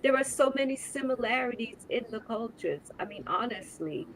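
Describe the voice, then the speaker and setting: A woman's voice: fairly high pitch, expressive, brisk, slight background noise, low-quality recording. Earnest woman hosting a podcast. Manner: earnest, emphatic, repeats words when building a point. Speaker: podcast host. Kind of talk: casual conversation.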